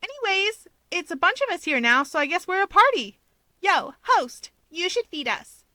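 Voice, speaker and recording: Woman playing a high-voiced, wry young woman. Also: very animated, moderate pace, bright, forceful tone, dry recording, full character voice.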